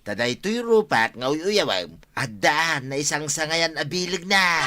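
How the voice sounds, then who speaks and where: A man's voice: a little high, animated, measured pace, bright, forceful tone. A man playing a put-upon village husband, grumbling and joking in a colloquial way, in an audio drama with an Ilocano accent. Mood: reluctant, grumbling. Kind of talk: Filipino audio drama acting.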